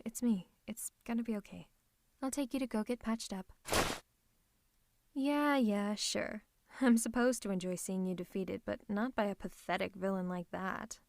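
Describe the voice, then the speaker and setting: A woman's voice: mid-range voice, normal speed, gravelly, a little mellow, no echo, close to an everyday voice. A woman voicing a theatrical, possessive female supervillain who is secretly a tender lover, in a scripted ASMR roleplay with a dramatic, haughty, teasing manner. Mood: tender, proud. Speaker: ASMR voice performer.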